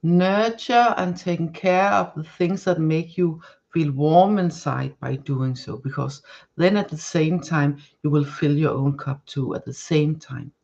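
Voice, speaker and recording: A woman's voice: deep voice, a little slow, creaky, a little mellow, muffled recording. Woman reading tarot cards. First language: Danish. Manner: searching for words, many restarts and fillers. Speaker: tarot card reader. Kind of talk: live-stream tarot reading.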